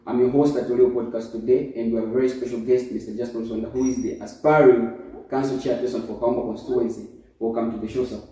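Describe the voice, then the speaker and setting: A man's voice: mid-range voice, little pitch movement, warm tone. A man hosting a podcast interview, greeting viewers and steering the questions with short acknowledgements. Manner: brisk, brief acknowledgements. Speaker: podcast host. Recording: roomy, distant, dull sound.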